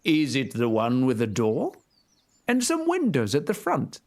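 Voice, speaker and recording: A reader voicing a cunning, hungry wolf who talks slowly and slyly in a storybook. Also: medium pitch, huge pitch swings, a little mellow. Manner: slow, sly and smooth. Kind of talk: storybook reading.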